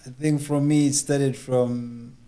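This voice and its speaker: A man from Zimbabwe, a reggae musician being interviewed as a guest, easygoing and quick to laugh. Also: medium pitch. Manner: easygoing, flat delivery, frequent laughs. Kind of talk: interview answers.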